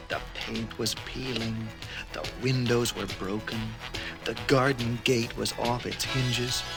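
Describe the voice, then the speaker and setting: A man narrating a scripted children's story in a measured way with dramatic pauses. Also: medium pitch. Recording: 1980s read-along record with music.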